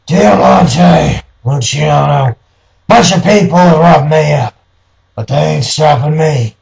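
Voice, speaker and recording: A man playing a cynical newspaper man in his forties, talking gruff, slangy tough talk in a noir radio play. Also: a little high, slow, darkish tone, full character voice. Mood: defiant.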